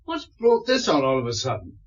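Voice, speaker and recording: An actor playing a patient police inspector in a radio drama, with measured, polite, probing questions. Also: male voice, medium pitch, huge pitch swings, slightly rough, dull audio. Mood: calm, domestic.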